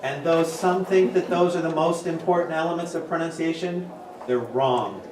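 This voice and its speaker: A man's voice: a little high, normal speed, close to an everyday voice. A man performing a pompous, grandiose orator in a readers' theater piece. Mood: lofty. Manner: grandiose, declamatory. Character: pompous, theatrical male orator scorning his interrupters. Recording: distant, echoey, noisy room recording.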